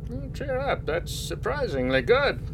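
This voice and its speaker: An actor playing a gruff but encouraging squadron leader in a radio drama, wry and matter-of-fact. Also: male voice, extremely high pitch, big pitch swings, a little slow, noisy background, exaggerated voice.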